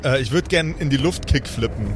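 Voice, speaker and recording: A man's voice: medium pitch, fairly quick, over music. A man chatting casually and slangily as a player in an improvised tabletop game, often joking.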